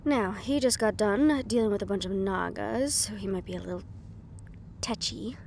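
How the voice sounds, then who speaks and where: A woman's voice: medium pitch, moderate pace, vocal fry, darkish tone, slight background noise, natural voice. Casting: female actor as composed, nervy right-hand woman to a crime boss, intimate audio drama delivery. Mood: firm, cautioning. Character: poised, anxious female right-hand assistant to mafia boss. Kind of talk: intimate audio roleplay acting.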